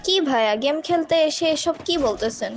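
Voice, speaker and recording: Man putting on a high, sassy young woman's voice. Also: expressive, brisk. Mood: curt, unimpressed. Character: young woman gamer, coy, cheeky and bargaining. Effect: voice changer. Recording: loud music behind.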